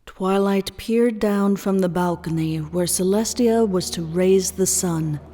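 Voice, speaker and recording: A woman reads scripted fiction as narrator, very unhurried and measured. Her voice is soft-edged and dark, carried in a long reverb with music underneath. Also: medium pitch.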